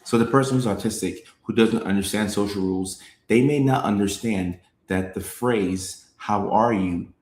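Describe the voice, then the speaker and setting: Man, low voice, host, casual explaining, animated, echoey room. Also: moderate pace, hardly any pauses. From Norway. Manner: animated, acts out quoted voices, repeats phrases, checks in with "right?". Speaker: show host. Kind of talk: casual explanatory talk, reading chat comments.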